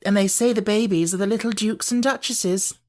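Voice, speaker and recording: A woman's voice: mid-range voice, fairly even intonation, fairly quick, a little mellow, pitched above the performer's own voice, close to an everyday voice. A woman voicing a polite, eager young girl in a storybook, in a well-mannered way. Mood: dreamy.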